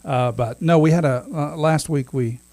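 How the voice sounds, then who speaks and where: A man's voice: a little high, normal speed, a little mellow, no echo. A man in his thirties co-hosting a podcast, chatting casually, laughing often and restarting his sentences. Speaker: podcast co-host. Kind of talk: casual chat.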